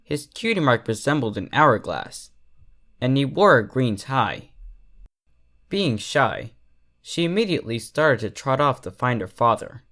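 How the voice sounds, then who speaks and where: Slow, expressive story narrator. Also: mid-range voice. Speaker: fan fiction reader, narrator.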